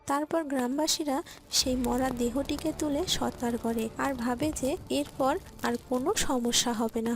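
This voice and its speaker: A female narrator with a very high voice tells a cartoon story from a script. Music plays clearly underneath her narration.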